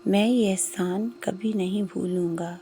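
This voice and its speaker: A woman's voice: mid-range voice, steady tone, dark tone, slight background noise, lightly acted. A woman voicing an earnest, formal young nobleman's son in a moral story. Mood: earnest, grateful. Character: grateful young nobleman's son testing people's charity.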